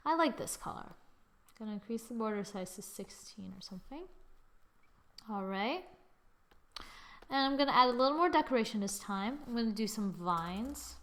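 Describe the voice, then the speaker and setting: Higher-pitched woman, casual tutorial host. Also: animated, moderate pace, pauses often, a bit bright. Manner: informal, thinking aloud while working, often trailing into murmurs. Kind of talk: casual software drawing tutorial. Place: screen-recording voice-over.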